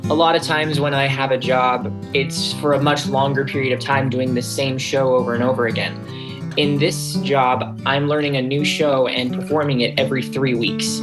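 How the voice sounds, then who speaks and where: A young man talks casually as an interviewed actor, reflecting on his work. Plucked guitar music plays clearly behind his voice. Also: medium pitch. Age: young adult. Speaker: voice and stage actor, interview guest.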